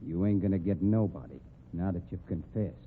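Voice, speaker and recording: An actor with an American accent playing a menacing, hot-tempered rodeo cowboy in old radio drama, with a rough voice and rustic drawl. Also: male voice, low-pitched, fairly quick, slight background noise, natural voice. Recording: tinny, narrow-band old radio recording.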